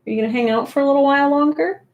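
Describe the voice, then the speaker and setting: A woman's voice: sits high, racing, lo-fi sound. A woman hosting a live stream, chatting casually and encouragingly as she greets viewers and reads out comments. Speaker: live stream host and writing teacher.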